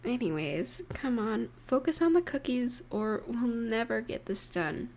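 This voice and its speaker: A woman voicing an affectionate, playful partner in an intimate roleplay audio, speaking gently and teasingly to the listener with pauses. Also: on the high side, very animated. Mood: teasing, fond.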